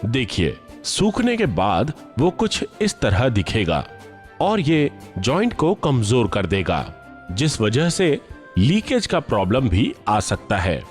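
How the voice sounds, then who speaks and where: A man delivering scripted commercial and narration voice-over in a polished, deliberate, dramatic way. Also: medium pitch, wildly swooping pitch. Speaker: voice-over artist. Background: music bed.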